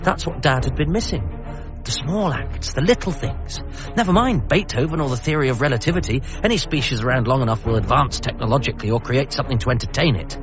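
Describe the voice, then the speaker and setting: An actor playing an anxious, grieving adult son in a radio comedy drama, with a wry, hesitant and apologetic manner. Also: male voice, mid-range voice, dull audio, lightly acted.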